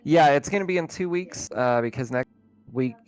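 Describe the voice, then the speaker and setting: A man's voice: on the high side, moderate pace, darkish tone, faint music behind. A man running a tabletop game as game master, chatting casually with the players after a session. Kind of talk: casual post-game chat.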